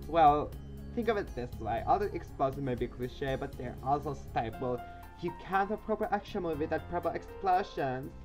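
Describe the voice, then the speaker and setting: A man's voice: expressive, normal speed, darkish tone, soft background music, close to an everyday voice. A man voicing a chirpy teenage schoolgirl in a raised, teasing voice. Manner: teasing, high put-on voice. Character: chirpy teen schoolgirl matchmaker.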